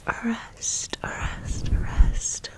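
A woman hosting an ASMR video in a whisper, repeating words and phrases in a soothing way. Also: drawn-out words. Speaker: ASMR video creator and channel host.

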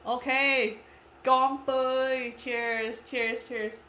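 A woman's voice: high voice, level tone, extremely slow, sharp tone, a little hiss or hum. A woman in her thirties whose first language is Cantonese, hosting a casual live stream, reading comments aloud, giggly and repeating herself. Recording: echoey room.

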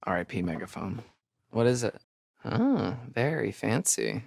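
An actor playing a sarcastic young American camp counselor in a horror video game, hesitant and conversational in delivery. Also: male voice, on the low side, sing-song, measured pace, gravelly, a little mellow, slightly rough, dry recording, studio quality, exaggerated voice. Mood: wry. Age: young adult. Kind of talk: naturalistic horror game dialogue.

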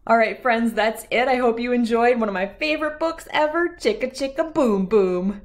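A woman in her thirties reading a picture book aloud to young children in an expressive, playful, rhythmic way. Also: fairly high pitch, moderate pace. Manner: expressive, playful, sing-song rhythmic delivery. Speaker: children's read-aloud host.

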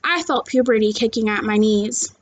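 High-pitched young woman reading to children. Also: normal speed. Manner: simple, direct address with questions to young listeners. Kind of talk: read-aloud of a children's novel with chat to viewers. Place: small living room, seated on a couch indoors.

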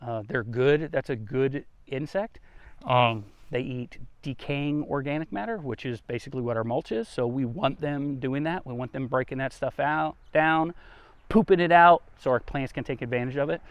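A man's voice: mid-range voice, normal speed, clean tone, no room sound. A man hosting a garden tour outdoors, talking in a folksy, conversational way as he explains things casually. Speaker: gardening vlogger, host.

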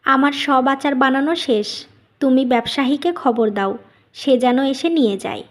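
A woman's voice: sits high, a little slow. A woman playing an earnest, often distressed young wife in a melodramatic family cartoon, pleading in her way of talking.

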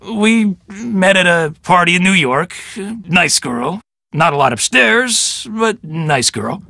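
A vain American police detective for a video game. He is cocky and quick to get defensive, with swooping pitch and a bright, forceful edge. The sound is dry and close. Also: expressive, vocal fry, no room sound, heavily acted. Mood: smug, dismissive. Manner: cocky, self-important, dismissive. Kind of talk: video game character acting.

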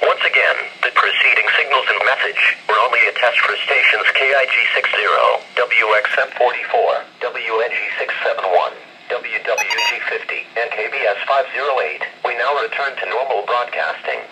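A man with a mid-pitched voice reads a scripted announcement through a weather radio speaker. His delivery is formal and impersonal. The playback is captured in an echoey room under heavy noise. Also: normal speed, far from the mic. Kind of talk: scripted public service announcement. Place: played from small weather radios in a home room. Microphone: handheld camera moved among radios.

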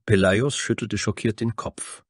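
Deep-voiced male fantasy narrator. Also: measured pace, no echo, studio quality. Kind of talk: scripted dramatic fantasy narration.

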